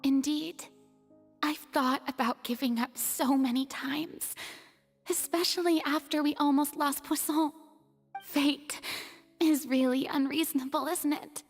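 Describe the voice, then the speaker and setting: A high-voiced, proud young female sovereign from a video game, grand and formal yet fragile and weary underneath. The words come slowly and dramatically, with reverb and faint music. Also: steady tone. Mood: sorrowful, resigned. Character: theatrical young female ruler, proud front hiding weary vulnerability. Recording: long reverb, music behind.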